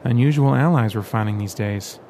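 A man voicing a quiet, reflective male rebel leader weighed down by a prophecy. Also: medium pitch, a little fast, a little hiss or hum, close to an everyday voice. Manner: soft, reflective.

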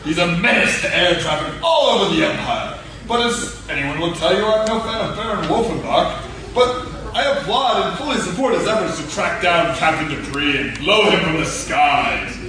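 An actor playing an excitable, pompous gentleman in comic radio theatre, effusive and melodramatic. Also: high voice, moderate pace. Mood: indignant. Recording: distant, echoey hall with clear noise.

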